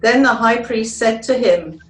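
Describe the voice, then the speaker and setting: A woman's voice: fairly high pitch, measured pace. A woman narrating a scripture reading as a church member reader. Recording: echoey room.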